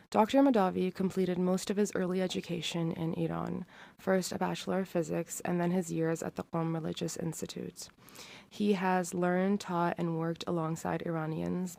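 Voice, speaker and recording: A young woman reads documentary narration in a measured, formal tone. Her voice is somewhat low and warm, with a flat melody and a gravelly fry. The recording is dry and close. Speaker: podcast host and narrator.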